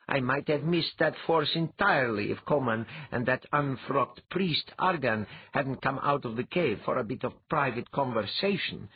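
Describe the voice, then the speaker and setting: A man voicing an intense, shrewd young woman seer in an audiobook, with an earnest manner and husky voice. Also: medium pitch, animated, normal speed, hardly any pauses, highs cut off, pitched above the performer's own voice.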